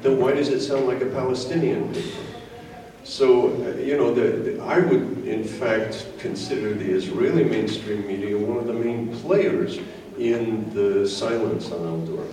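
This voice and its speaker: A man's voice: medium pitch, darkish tone. A man making an impromptu argument at a public discussion, recorded in a very echoey room from a distance. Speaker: academic lecturer on a panel or in audience.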